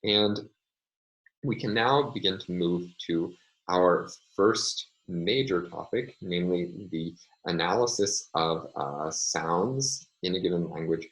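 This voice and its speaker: A man lecturing in a measured way, often pausing with ums and uhs as he explains. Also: low voice, fairly bright tone, low-quality recording. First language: English. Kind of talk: recorded online lecture.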